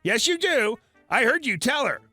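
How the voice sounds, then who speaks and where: A man doing a furious entitled mother in a shop, pitched far up into a shrill, swooping screech. She barks orders and hurls insults, every line sharp and bright. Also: sing-song, moderate pace, no echo, soft background music, heavily acted. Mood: shrieking. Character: shrill entitled middle-aged woman shopper, rude and furious.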